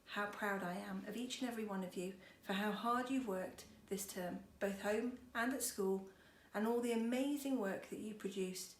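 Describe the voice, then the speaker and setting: A woman's voice: mid-range voice, normal speed, hardly any pauses. Woman teacher reading aloud, echoey room. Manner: expressive, encouraging, speaking to children. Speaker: schoolteacher reading storytime. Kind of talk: children's storybook read-aloud with chatty asides. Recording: roomy echo, faint background noise.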